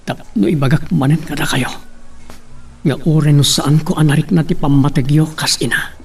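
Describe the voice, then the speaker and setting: A man's voice: fairly high pitch, steady tone, normal speed, gravelly, husky, soft background music. A man playing a grieving son swearing vengeance in a solemn, declamatory audio drama monologue. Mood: mournful, vengeful. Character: grieving vengeful son vowing at mother's grave. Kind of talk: radio-style Ilocano drama monologue. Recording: distant mic pickup.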